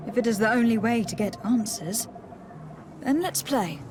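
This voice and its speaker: A woman's voice: a little high, normal speed, background noise, lightly acted. An actress playing a polite, composed young noblewoman envoy in a video game, courteous and formal. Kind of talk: video game character acting. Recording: music under the dialogue.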